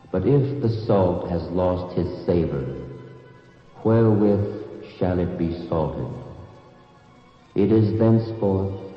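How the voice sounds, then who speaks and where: A grave male teacher in a religious radio drama, resonant and very deep. He recites archaic scripture slowly and solemnly. The muffled recording carries music and a long reverb. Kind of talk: old-time religious radio drama acting.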